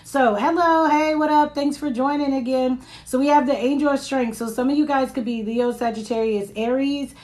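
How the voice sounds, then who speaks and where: A woman with a raspy voice giving a casual live-stream tarot reading into her phone, chatting and reading comments between cards. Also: high-pitched, steady tone, moderate pace, hardly any pauses, a little mellow. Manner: chatty, reads viewer comments aloud, laughs often. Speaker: tarot reader.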